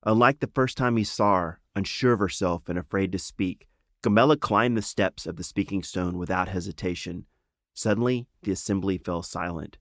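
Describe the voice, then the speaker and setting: Guy reading a kids fantasy audiobook, fairly deep voice, no room echo at all. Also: normal speed, clean studio sound. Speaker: author reading his own book. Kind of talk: scripted storybook narration.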